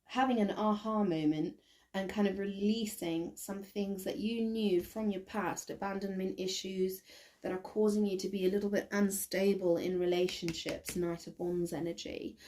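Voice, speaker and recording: A woman with a croaky voice doing a casual tarot reading to camera, thinking aloud with many ums and restarts. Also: mid-range voice, fairly even intonation, moderate pace, a little mellow, poor audio. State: croaky, recovering from bronchitis. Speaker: tarot reader.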